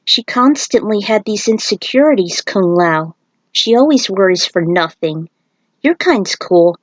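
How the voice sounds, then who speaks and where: A woman voicing an earnest male shaman warrior, brisk and reassuring, in a storybook reading. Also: medium pitch, animated, no echo.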